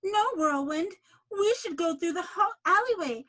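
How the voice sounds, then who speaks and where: A woman's voice: very high voice, expressive, a little slow, fairly bright tone, pitched above the performer's own voice, heavily acted. A woman voicing a whiny, mocking cartoon hero who exaggerates a scared rival. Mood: mock pleading.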